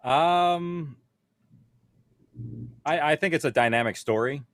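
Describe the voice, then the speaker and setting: A man's voice: on the high side, slow, no echo. A man speaking conversationally as a panelist, explaining production matters to others. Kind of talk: conference panel discussion.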